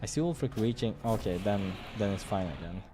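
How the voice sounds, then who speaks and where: A man's voice: lowish voice, expressive, brisk, over music. A man reading a visual novel aloud during a gaming playthrough, slipping into casual asides and stumbling over lines now and then. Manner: stumbles over read lines and corrects himself.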